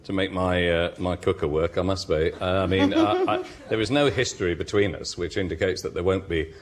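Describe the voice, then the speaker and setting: A man on a comedy panel, excitable and laughing as he argues. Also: deep voice, brisk. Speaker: panel guest.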